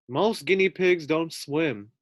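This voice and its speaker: A grand storybook show announcer, as a male reader puts him on, eagerly presenting the star act with building showmanship. The delivery is deliberate and raised a little above plain talk, in a dry, close recording. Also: a little high, no room sound, pitched above the performer's own voice. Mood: grand, excited. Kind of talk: storybook read-aloud for children.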